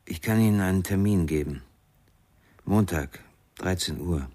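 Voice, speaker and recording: Radio crime play: businesslike therapist, deep voice, formal, curt, unyielding. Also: male voice, unhurried, dark tone. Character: cool, businesslike, unyielding male psychologist.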